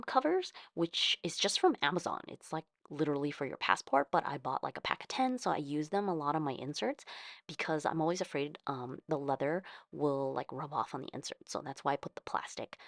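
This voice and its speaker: A woman's voice: low-pitched, dry recording, studio quality. A woman with an American accent chatting casually in a rambling, conversational way, thinking aloud as she hosts a craft video. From California, United States. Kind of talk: casual chat about journaling.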